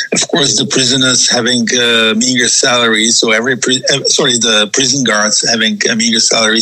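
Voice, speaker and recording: A man with a Czech accent, Czech as first language, speaking haltingly as a guest in a remote interview. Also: medium pitch, bright, forceful tone, low-quality recording. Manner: halting, many fillers and restarts. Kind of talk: testimony interview.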